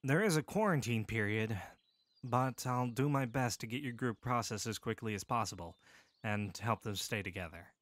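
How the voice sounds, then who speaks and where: A man voicing a calm, caring male medic soldier who speaks steadily, seriously and with compassion. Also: medium pitch, normal speed, no echo, close to an everyday voice. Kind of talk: full-cast audiobook acting.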